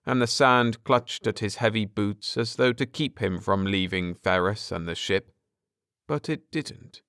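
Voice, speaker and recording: Male audiobook narrator, lower register, very clean resonant delivery, measured and dramatic sci-fi storytelling. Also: a bit bright, clear, resonant tone, no room sound, clean studio sound. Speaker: audiobook narrator and channel host. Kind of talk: scripted dramatic narration of a science fiction story.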